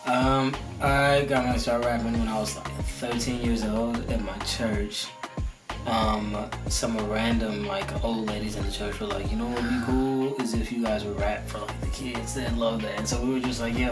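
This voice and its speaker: A man's voice: mid-range voice, flat delivery, normal speed, over music. Laid-back young man, echoey room. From Oklahoma, US. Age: young adult. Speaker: co-host, rapper. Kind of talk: casual couple chat.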